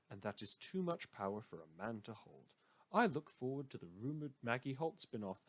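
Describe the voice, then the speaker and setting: A man's voice: mid-range voice, a bit bright. A man playing a sardonic, melodramatic radio show host, delivering a scripted satirical monologue in an audio drama with theatrical emphasis.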